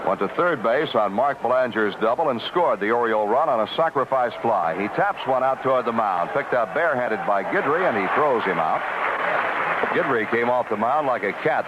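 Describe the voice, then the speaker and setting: A male baseball play-by-play announcer with a lively, medium-pitched voice, describing action in clipped phrases. The recording is dull 1970s radio with heavy stadium noise. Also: moderate pace. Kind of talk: live radio sports commentary.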